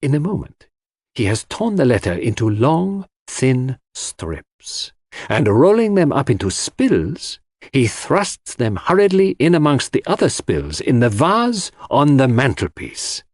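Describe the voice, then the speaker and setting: Male narrator as a clever confident detective, husky, animated, deliberate, theatrical, audiobook drama. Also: medium pitch, dry recording, studio quality. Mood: dramatic, suspenseful. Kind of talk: audiobook character dialogue.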